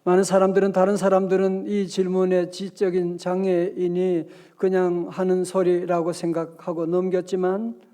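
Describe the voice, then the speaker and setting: Middle-aged male pastor, sermon delivery, voice set high, mellow tone. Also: completely flat delivery, normal speed, hardly any pauses. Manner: storytelling, acts out dialogue in quotes.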